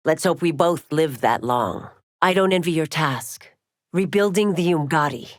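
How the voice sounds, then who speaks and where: A woman's voice: low voice, lively intonation, moderate pace, no echo, clean studio sound. A woman playing a stern, proud veteran constable in a video game, speaking in formal, clipped, authoritative declarations. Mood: measured, earnest. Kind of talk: video game fighter voice lines, dramatic acting.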